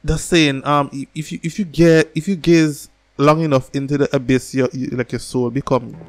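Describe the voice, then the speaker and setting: Animated, foul-mouthed man hosting reactions. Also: a little high, moderate pace. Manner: animated, swearing constantly, slangy, repetitive. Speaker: reaction video host. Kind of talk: casual excited reaction commentary. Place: small furnished room at home. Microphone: close desk microphone on boom arm.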